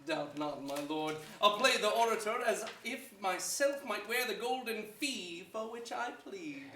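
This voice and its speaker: An actor playing a loyal, flattering courtier in a formal Shakespearean stage style, projected and deferential. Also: male voice, sits high, a little slow, exaggerated voice. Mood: eager, loyal. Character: loyal, flattering courtier lord, eager accomplice in plotting. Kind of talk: theatrical Shakespearean stage acting. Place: theater stage before an audience. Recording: echoey stage recording, faint noise.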